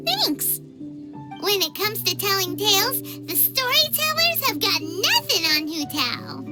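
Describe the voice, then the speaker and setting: Tiny, very high-pitched childlike companion. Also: female voice, very animated, measured pace, loud music behind the voice, exaggerated voice. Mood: musing, chirpy. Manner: refers to herself by name, exclaiming, hesitant musing. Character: small chirpy childlike floating girl companion, naive, excitable. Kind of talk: anime video game voice acting.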